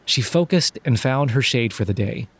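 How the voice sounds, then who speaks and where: Measured male audio drama narrator. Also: mid-range voice, animated, breathy edge.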